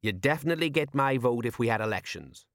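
A man's voice: medium pitch, animated, quick pace, no room sound, clean studio sound, lightly acted. A man voicing a deferential, self-satisfied police sergeant in an audiobook, with a plodding, homely manner. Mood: eager, confiding.

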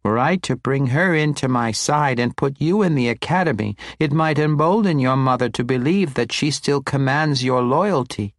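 A man voicing a tiny baby girl in a squeaky voice, speaking with imperious, sophisticated adult diction in a fantasy audiobook. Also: moderate pace, few pauses, dry recording, clean studio sound, natural voice. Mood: coolly calculating. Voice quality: squeaky, babyish.

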